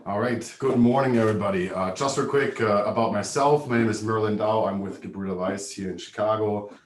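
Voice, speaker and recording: A man with a German accent, giving a brisk, matter-of-fact business presentation on a video call. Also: fairly low pitch. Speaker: webinar presenter. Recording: echoey room, distant mic.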